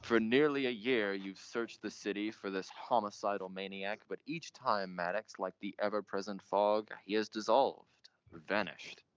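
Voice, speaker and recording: Man acting a deep, pompous radio mystery host. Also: normal speed, faint music behind, lightly acted. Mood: brooding. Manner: theatrical, portentous, grandiose. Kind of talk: old-time radio mystery narration. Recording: muffled, noisy amateur stage recording.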